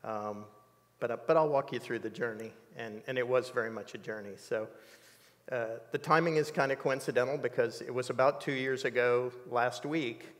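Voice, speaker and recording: Reflective older man, studio reverb. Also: mid-range voice. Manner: measured, reflective storytelling with many fillers. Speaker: interview guest. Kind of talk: personal testimony in an interview. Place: dark studio set.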